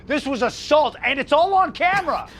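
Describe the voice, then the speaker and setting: A man's voice: very high voice, expressive, moderate pace, a bit bright. A man playing a smug, spiteful young wrestling champion in a video game, condescending and boastful, with noisy ambience behind him. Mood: gloating, indignant. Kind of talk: video game cutscene acting.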